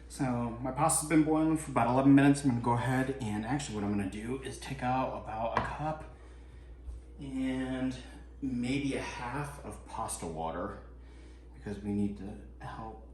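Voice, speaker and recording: A man's voice: fairly low pitch, moderate pace, darkish tone, reverberant, slight background noise. A man chatting casually as he cooks in his home kitchen, laid-back and swearing now and then. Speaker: home cook vlogger. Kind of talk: casual cooking narration.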